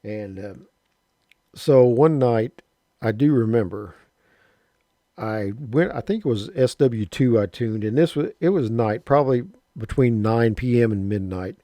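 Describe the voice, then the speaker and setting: Rambling folksy man with a mellow voice. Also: mid-range voice, animated, measured pace, dry recording. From Southern Illinois, USA. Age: 50s. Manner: rambling, folksy, with mild cursing and frequent restarts. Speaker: radio hobbyist vlogger. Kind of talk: casual spoken reminiscence.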